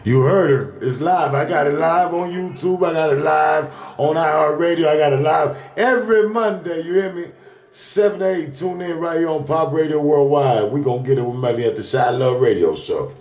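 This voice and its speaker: A man's voice: on the high side, normal speed, a little mellow. An American man co-hosting a radio show, talking in a casual, slangy, emphatic promoter's style. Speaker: radio station host and co-host. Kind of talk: casual hype radio talk. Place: indoor radio studio. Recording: roomy studio echo.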